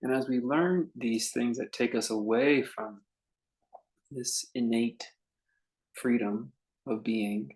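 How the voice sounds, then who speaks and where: A man leading a guided meditation as a teacher, speaking in a contemplative, unhurried way with long pauses between short phrases. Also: medium pitch, a little mellow, rough recording.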